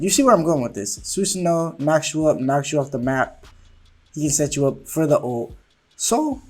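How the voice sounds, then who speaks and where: A man with a nasal voice and a sore throat, recovering from illness, ranting in a game commentary video and getting exasperated at points. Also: mid-range voice. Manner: ranting, exasperated, rising and louder when annoyed. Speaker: gaming YouTuber.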